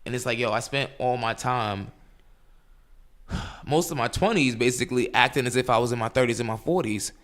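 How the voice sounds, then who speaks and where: A man in his late twenties co-hosting a casual podcast chat, animated and swearing freely as he acts out his own thoughts. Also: mid-range voice, normal speed. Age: late 20s. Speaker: podcast co-host. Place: New York City.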